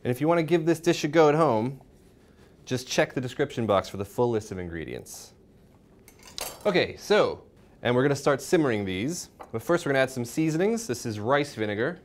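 A man's voice: mid-range voice, very animated, normal speed, long pauses. A young man presenting a cooking show, explaining each step in an informal, instructional way. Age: young adult. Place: indoor home-style kitchen.

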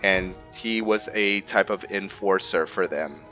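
A man with a low voice narrates his roleplay playthrough casually. He speaks in halting pieces, thinking aloud, with faint game music underneath. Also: sharp tone, muffled recording. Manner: hesitant, pausing mid-sentence as he thinks aloud. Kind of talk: casual let's play commentary.

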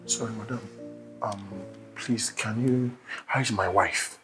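A man's voice: a little high, animated, fairly quick, lightly acted. A man with a Nigerian accent acting an anxious, stammering husband in a screen drama, veering between pleading and explosive. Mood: timid pleading. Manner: stammering, repeats words, switches from apologetic to insulting. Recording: roomy echo, music underneath.

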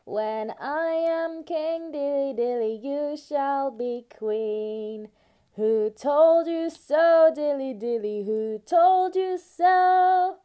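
Woman singing a lullaby really slow, mellow tone, bit of music behind. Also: sits high. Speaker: singer.

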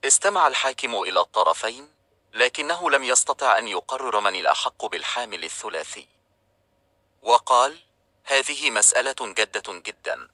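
A man narrating a children's storybook in a calm, even reading style. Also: mid-range voice, brisk, dry recording.

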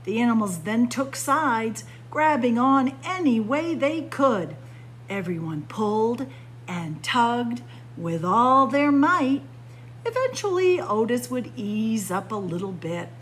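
A woman's voice: fairly high pitch, very animated, takes it slowly, a little hiss or hum. A woman reading a children's picture book aloud like a story-time librarian, expressively, with dramatic emphasis on key words.

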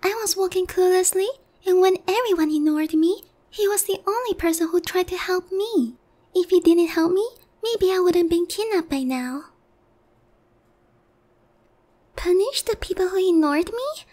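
A woman's voice: very high voice, normal speed, warm tone. A woman playing a naive, sheltered young harpy noblewoman in a roleplay ASMR, polite, hesitant and earnest in a girlish way. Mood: defensive, earnest.

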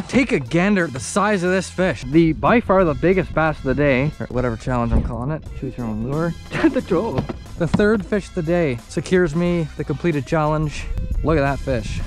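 A young man, filming himself from a small boat on a lake and chatting casually about his catches in a goofy, self-mocking way. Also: on the high side. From Canada. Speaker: fishing vlogger. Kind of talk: casual self-filmed commentary. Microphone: action camera.

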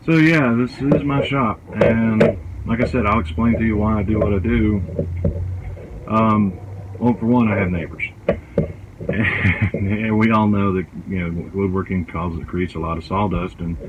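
Easygoing middle-aged guy showing off his porch shop, lowish voice, bit of outdoor noise. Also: measured pace, narrow, tinny audio. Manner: relaxed, rambling, with restarts and fillers. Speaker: woodworking channel host. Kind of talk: casual shop tour. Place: outdoor front porch workshop under a canopy.

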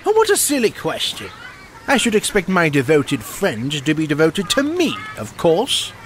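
Man playing a pompous water rat, swinging high pitch. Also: huge pitch swings, moderate pace, a bit bright, a little hiss or hum, poor audio. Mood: haughty. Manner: haughty, dismissive. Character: pompous, self-centred male water rat. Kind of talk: audio drama acting.

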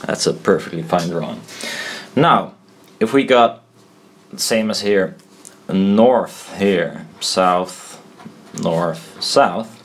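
A man giving an informal tutorial at a desk, thinking aloud in a halting, self-deprecating way. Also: deep voice, a little hiss or hum. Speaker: presenter explaining loudspeaker technology. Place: indoors at a desk.

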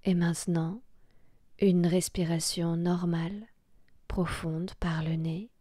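A woman guiding a relaxation meditation in a soft, breathy, half-whispered voice, hushed and soothing, with long pauses. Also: lowish voice, flat delivery, dry recording, studio quality. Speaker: yoga nidra guide.

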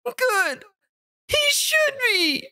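A woman's voice: very high-pitched, big pitch swings, extremely slow, pushed and bright, no echo, clean studio sound, heavily acted. A woman playing a cocky young boy, an older brother, in an audio drama. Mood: angry.

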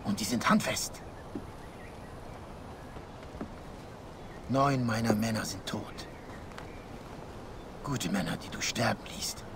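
An actor playing an intense, reproachful rebel leader in his thirties in a dramatic video game, forceful and accusing. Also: lowish voice, unhurried, noisy background, natural voice. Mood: bitter, accusing. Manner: forceful, accusing, swinging from hushed to loud. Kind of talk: dramatic video game acting in German dub.

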